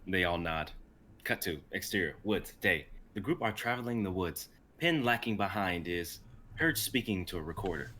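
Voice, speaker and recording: A man's voice: low-pitched, lively intonation, moderate pace, bright, forceful tone, slight background noise. A young man reading stage directions as narration in a casual live table reading. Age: young adult.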